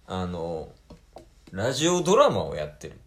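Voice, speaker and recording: A man's voice: sits low, huge pitch swings, moderate pace, fairly bright tone. A man with a Kansai accent co-hosting casual comedy free talk, with blunt, teasing straight-man retorts. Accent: Kansai Japanese. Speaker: co-host of a two-man comedy talk show.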